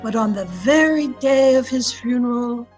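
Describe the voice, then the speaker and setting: A woman's voice: fairly high pitch, normal speed, a little mellow, soft background music, lightly acted. A woman playing a storyteller in an old-time radio drama, reflective and dramatic as she recalls a family's past. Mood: wistful.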